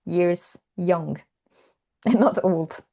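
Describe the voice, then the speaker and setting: A woman's voice: on the low side, extremely slow, warm tone. A young woman co-hosting a casual podcast, cheerful and giggly as she asks the questions. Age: young adult. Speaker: podcast co-host, language teacher. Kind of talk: casual chat.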